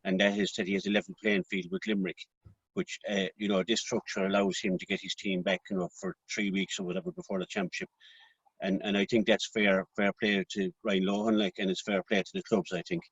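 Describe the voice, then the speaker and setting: A man giving conversational sports opinion as a panellist, haltingly and repeating words. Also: fairly low pitch, lively intonation, gravelly, a bit bright, breathy edge, no room sound. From Ireland. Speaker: radio sports team panellist. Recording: dull, dry lo-fi audio.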